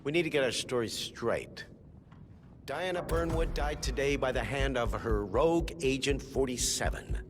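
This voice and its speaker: An actor playing a smug, scheming secret-society leader in a video game, speaking in grandiose, theatrical speeches. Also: male voice, medium pitch, moderate pace, noisy background, lightly acted. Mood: grave, conspiratorial. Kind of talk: dramatic video game acting.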